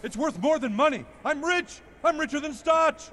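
A man's voice: extremely high pitch, lively intonation, moderate pace, fairly bright tone. A man voicing a frantic, greedy boy who babbles and stalls with fillers. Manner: babbling and hesitant, full of ums. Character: greedy, frantic boy hoarding toilet paper.

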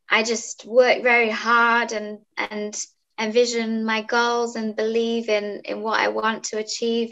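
Bright chatty woman on a video call. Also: a little high. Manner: chatty, upbeat, with fillers. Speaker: guest singer. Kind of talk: casual interview chat. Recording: dull lo-fi video call audio.

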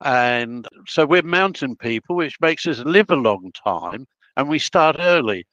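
A man's voice: medium pitch, expressive, unhurried, a bit bright, no echo. Old aussie guy rambling through career stories, dry dull-sounding audio. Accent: Australian. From Australia. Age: 80s. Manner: rambling, anecdotal, self-interrupting. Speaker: guest, project planner. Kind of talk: casual interview storytelling.

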